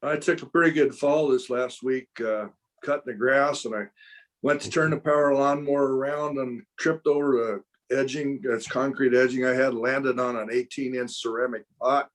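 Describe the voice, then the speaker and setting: A man in his seventies giving a plainspoken, unhurried check-in to his Bible study group. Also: medium pitch, fairly even intonation. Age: 70s. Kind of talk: casual check-in.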